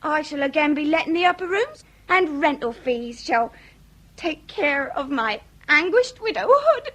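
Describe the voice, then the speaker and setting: Comic period radio drama: melodramatic working-class Victorian widow, talkative, high-pitched, very expressive range, mock-grand folksy phrasing. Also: female voice, measured pace. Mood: garrulous reminiscing. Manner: theatrical lamenting, folksy mangled grand words.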